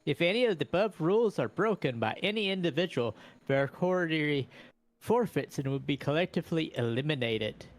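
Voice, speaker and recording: A man with a slightly high voice reads game rules aloud. He drops in casual asides as a player, while quiet music sits faintly behind him. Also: animated, fairly quick.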